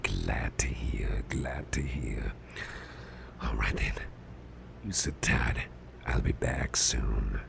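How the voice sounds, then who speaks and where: A man playing a big, muscular but softened grumpy boss in an ASMR roleplay, speaking in a gravelly, breathy near-whisper with a gentle, slightly formal manner. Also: extremely low pitch, background noise, exaggerated voice. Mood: grateful, reassuring. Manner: slow, murmuring, gently reassuring, slightly formal.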